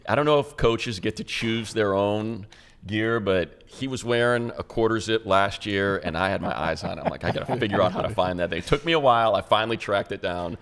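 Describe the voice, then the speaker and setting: Man, sports podcast host, low deep voice, informal, conversational interviewing style. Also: fairly quick, a bit bright, smooth. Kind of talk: casual sports talk and interviewing.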